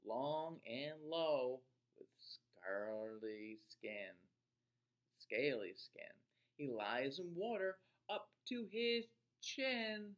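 Male teacher, middle-aged, children's read-aloud. Very unhurried delivery, animated pitch swings, questions to the listeners. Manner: deliberate, expressive, pausing to question listeners. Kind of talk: picture-book read-aloud for young children.

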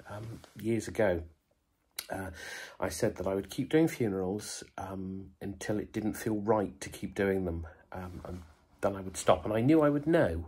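A man with a cold, talking quietly in a hotel room in a casual vlog update, with many ums and hesitations. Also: on the low side, breathy edge. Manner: speaks softly, hushed, many ums. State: bunged up with a cold. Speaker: vlogger.

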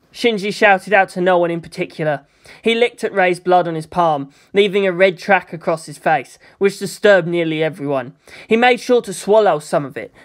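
Dramatic audiobook narrator, medium pitch. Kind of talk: dramatic scripted story narration.

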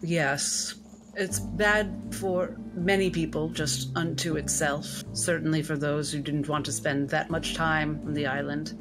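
A woman playing a courteous, wry female doctor who speaks politely and with gentle hesitation. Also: low-pitched, fairly even intonation, measured pace, music in the background. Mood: grave, wry.

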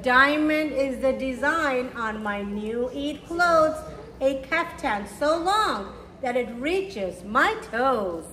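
Woman, high voice, children's read-aloud teacher, encouraging, simple phrasing, roomy echo. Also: lively intonation, slow. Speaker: children's storytime reader and teacher. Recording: roomy echo with faint background noise.

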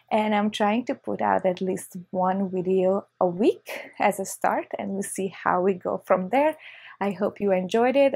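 A woman in her thirties from Hungary with a Hungarian accent, chatting excitedly through a casual unboxing vlog on a lapel mic in her living room. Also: medium pitch, gravelly, slightly rough, dry recording. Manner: chatty, excitable, with short laughs. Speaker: vlogger. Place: living room on a leather couch, indoors.

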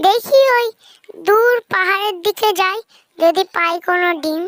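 A performer voicing a mischievous, always hungry trickster fox with a childlike, squeaky, singsong delivery in a children's fairy-tale cartoon. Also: a little slow, fairly bright tone. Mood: eager, amazed. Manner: singsong, repeats a nonsense rhyming catchphrase.

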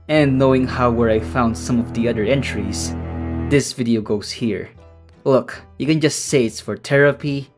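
A man doing casual, deadpan narration as the host of a meme tier-list video. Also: mid-range voice.

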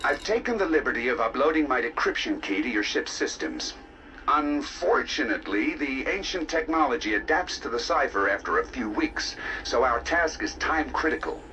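An actor playing a curious male researcher of ancient alien ruins in a video game, explaining findings in a measured, articulate, academic way. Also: mid-range voice, very animated, bright, forceful tone, slight background noise, rough recording. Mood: businesslike. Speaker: mission contact explaining decoded logs. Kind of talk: scripted game narration, expository.

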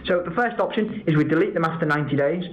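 A man's voice: a little high, fast. A man giving an informal webinar presentation as a product trainer, explaining step by step with examples. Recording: dull lo-fi audio with background noise.

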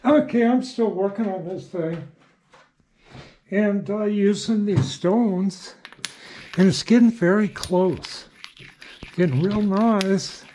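An older man with a noticeably high-pitched voice narrates his own handiwork. He is unhurried and folksy, often half talking to himself. The recording comes from a small workshop, with light background noise and clicking. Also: dark tone. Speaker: hobby machinist showing shop work. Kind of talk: casual workshop narration while working. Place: small cluttered indoor workshop.

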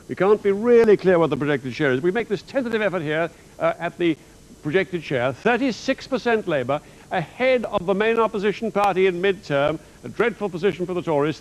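A man's voice: high voice, darkish tone, lo-fi sound. An older man presenting election graphics in a television studio, explaining with emphatic, theatrical delivery. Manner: emphatic, theatrical explaining, walking through a virtual set. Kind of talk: animated broadcast analysis.

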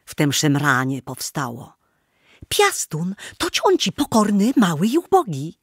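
Female storyteller for radio drama: literary, dramatic, lively intonation, dry studio sound. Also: lowish voice, a little slow, breathy edge, dry recording. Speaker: narrator.

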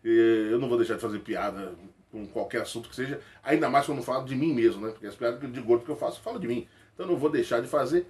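A man's voice: mid-range voice, racing. Jokey laughing comedian man, close webcam. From Rio de Janeiro, Brazil. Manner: animated, jokey, laughs often, slangy. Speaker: comedian answering viewer questions. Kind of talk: casual chat to camera. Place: small indoor room with toy shelves. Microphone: webcam close to face.

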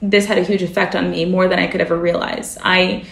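A woman journalist whose first language is Russian speaks thoughtfully as an interview guest, pausing on fillers and correcting herself mid-sentence. Her voice has a gravelly edge, and the recording carries a roomy echo. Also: on the low side, little pitch movement. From family from the former Soviet Union. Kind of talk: reflective interview conversation.

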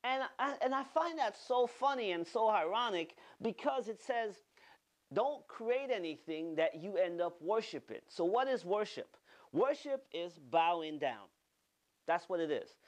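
Animated man preaching a sermon. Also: high-pitched, unhurried. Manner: animated, gestures broadly, repeats words for emphasis. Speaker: pastor. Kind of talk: online sermon. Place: studio with green-screen backdrop.

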